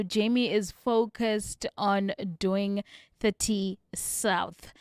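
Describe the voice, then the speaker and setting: A woman's voice: medium pitch, clean tone, no room sound, clean studio sound. A woman hosting a radio talk show, upbeat and chatty with her guest and listeners. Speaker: radio host. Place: radio studio.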